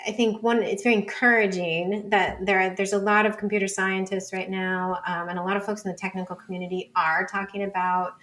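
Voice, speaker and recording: A woman's voice: mid-range voice, level tone, brisk, hardly any pauses, a bit bright. A woman speaking as an expert guest in a conversational interview, explaining informally with lots of hedging words like "like", "sort of" and "you know". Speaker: interview guest, data researcher and book author. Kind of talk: conversational podcast interview answers.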